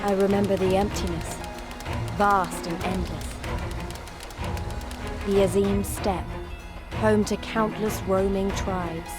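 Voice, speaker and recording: An actress narrating as a young woman warrior, reflective and measured. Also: medium pitch, level tone, music in the background, close to an everyday voice. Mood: wistful. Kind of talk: scripted narration.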